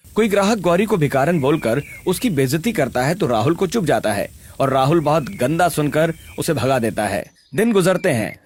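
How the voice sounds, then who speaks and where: A man narrating a scripted animated story. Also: on the high side.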